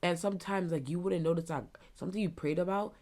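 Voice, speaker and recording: A young woman in her twenties with a stuffy nose, hosting a casual solo podcast and thinking out loud as she rambles. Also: fairly low pitch, fast talker. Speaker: podcast host.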